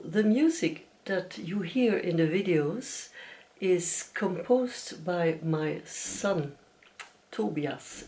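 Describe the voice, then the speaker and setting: An older woman from Belgium hosts her crafting podcast in English, her native Dutch showing in the accent. She speaks very slowly in a mellow, slightly low voice, hesitating and correcting herself as she explains. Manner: measured, with frequent hesitations and self-corrections. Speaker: knitting podcast host. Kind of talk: slow, careful casual talk to camera.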